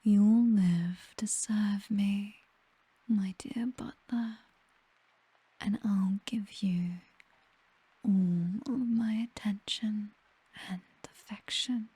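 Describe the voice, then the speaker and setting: A woman playing a possessive, doting vampire noblewoman in an intimate ASMR roleplay, with a British accent and a breathy whisper, speaking tenderly and teasingly close to the microphone. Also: medium pitch, fairly even intonation, very slow, pauses often, warm tone, dry recording, exaggerated voice. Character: possessive, doting vampire noblewoman, commanding mistress to her butler. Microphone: close microphone, dry.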